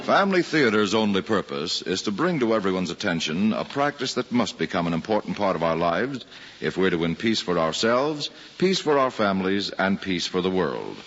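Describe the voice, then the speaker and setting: A man's voice: sits low, sing-song, moderate pace. An American man announcing formally on 1940s radio in a solemn, stately, emphatic manner. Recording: dull old radio broadcast, loud music.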